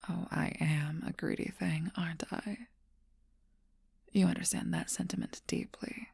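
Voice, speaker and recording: Woman doing a vampire girlfriend whispering, low gravelly fry, super breathy, flat and slow, asmr close mic. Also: dry recording, heavily acted. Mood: wistful.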